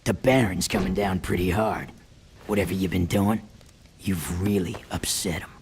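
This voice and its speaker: An actor playing a weary, stern resistance leader in a video game, husky and terse. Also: male voice, sits low, normal speed, background noise. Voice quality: husky, raspy. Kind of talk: video game cutscene acting.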